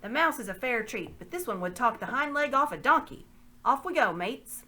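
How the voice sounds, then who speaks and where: A woman's voice: on the high side, animated, normal speed, pushed and bright, voice pushed up. A woman reading aloud, voicing a greedy, cheerful male slave merchant with a bluff, coaxing manner. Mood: jovial.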